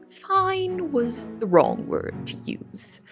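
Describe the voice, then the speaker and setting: A woman playing a wry young cook who narrates her kitchen life in an expressive, storytelling way for an audio drama. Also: high-pitched, drawn-out words, exaggerated voice. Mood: flustered, placating. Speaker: narrator and lead character. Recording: music behind the voice.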